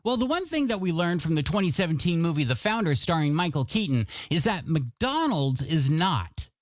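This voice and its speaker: A man's voice: fairly high pitch, very animated, moderate pace, no room sound, studio quality. A man hosting a radio show as a DJ, with showy, playful announcer patter and music playing behind him. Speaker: radio DJ host. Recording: music bed under the voice.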